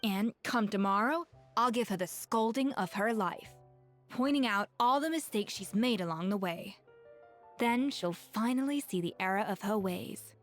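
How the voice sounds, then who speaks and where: An actress playing a proud, exacting master chef with high standards in a video game. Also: female voice, normal speed, natural voice. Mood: determined, stern. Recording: background music behind the voice.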